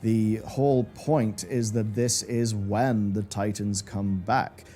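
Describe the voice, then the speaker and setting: Mellow man narrating over music. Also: lowish voice, fairly even intonation, slow pace. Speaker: video essayist. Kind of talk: scripted commentary narration.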